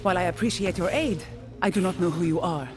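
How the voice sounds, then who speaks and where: A woman's voice: lowish voice, fast, music in the background, natural voice. An actress playing a cold, commanding female leader of a desert warband in a video game, curt and guarded. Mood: fierce, wary. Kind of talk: video game character acting.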